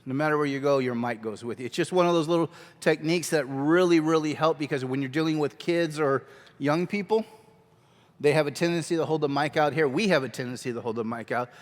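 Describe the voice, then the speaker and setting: A man teaching a children's ministry workshop at a conference, talking energetically and conversationally on a handheld mic. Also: fairly high pitch. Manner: energetic, conversational, quick asides. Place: large church hall. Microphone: handheld microphone. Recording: long hall reverb.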